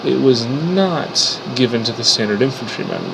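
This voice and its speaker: A man's voice: mid-range voice, huge pitch swings, normal speed, vocal fry, noisy background. A man who is sick and congested, explaining his 3D weapon models in a casual, unscripted way with fillers and restarts.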